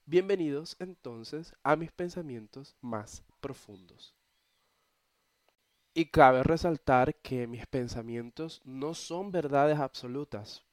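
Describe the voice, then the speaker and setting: A man's voice: medium pitch, no echo, clean studio sound. A young man from Venezuela with a Venezuelan accent, hosting his own podcast from his bedroom in a chatty, playful way. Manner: chatty, expressive, playful asides to listeners. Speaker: podcast host. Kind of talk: casual solo podcast monologue.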